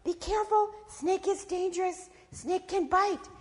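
A woman's voice: very high-pitched, a little slow, in a large hall, pitched above the performer's own voice. A woman voicing a small, cautious inner voice in a folktale, giving urgent, repeated warnings. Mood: cautioning, urgent. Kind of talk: oral folktale character.

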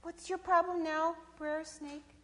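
Woman doing a kindly, high-voiced, hesitant possum lady. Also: little pitch movement, measured pace, fairly bright tone, big-room sound. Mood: curious, wary. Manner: hesitant, humming and hedging. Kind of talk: oral folktale character.

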